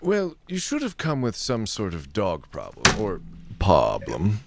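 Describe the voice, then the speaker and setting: A man's voice: fairly low pitch, wildly swooping pitch, measured pace, creaky, heavily acted. A man playing a self-important, irritable dog wizard who stammers and grumbles in a comic audio drama. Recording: faint background noise.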